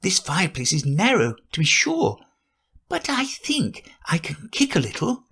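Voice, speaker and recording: A man's voice: sits high, wildly swooping pitch, unhurried, sharp tone, slightly rough, pitched above the performer's own voice, full character voice. A man voicing a curious, sensible young girl who muses aloud to herself, in a storybook reading. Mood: musing.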